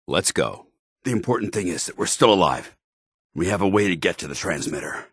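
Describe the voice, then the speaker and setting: An American actor playing a stern, disciplined armored soldier in a video game, speaking in a formal, clipped, commanding way. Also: male voice, low voice, animated, brisk, fairly bright tone, breathy edge, dry recording, clean studio sound, full character voice. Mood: stern, measured. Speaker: video game companion character. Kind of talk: scripted game dialogue and combat barks.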